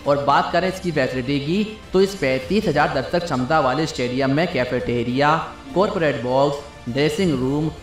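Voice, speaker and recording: A man's voice: fairly high pitch, normal speed, few pauses. Guy doing scripted top ten video voiceover, roomy echo, bit of music behind. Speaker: video narrator. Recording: roomy playback with music bed. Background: background music.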